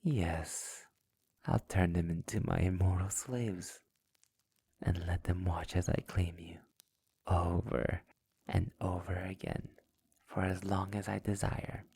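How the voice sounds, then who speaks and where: A man playing a sadistic, possessive immortal vampire master in an intimate ASMR roleplay, taunting theatrically in a breathy, gravelly voice that often nears a whisper. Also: low-pitched, fairly even intonation, takes it slowly, mellow, heavily acted. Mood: menacing, taunting.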